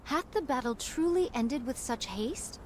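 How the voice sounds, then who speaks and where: Anime-game swordswoman: very high, theatrical, old-fashioned formality, unflappable. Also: expressive, a little slow, a little mellow, slight background noise. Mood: wry.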